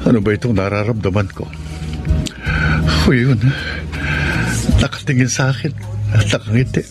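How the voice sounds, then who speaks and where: A man's voice: medium pitch, normal speed, lightly acted. An actor in a Tagalog radio drama playing an earnest, easily flustered man in love who stammers nervously. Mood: nervous, smitten. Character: Alfredo, nervous lovestruck man reuniting with high school sweetheart. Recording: music behind the voice.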